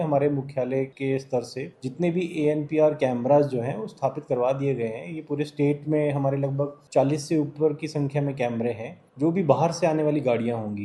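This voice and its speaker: A man's voice: fairly high pitch, dark tone. A man speaking as a government official, giving a formal explanatory statement. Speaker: transport department official.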